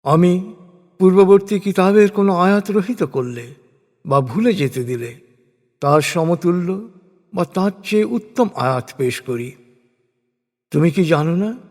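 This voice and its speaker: A man narrating translated scripture in a solemn, measured, formal way, phrase by phrase with falling endings. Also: sits high, expressive, darkish tone. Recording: long reverberant hall effect.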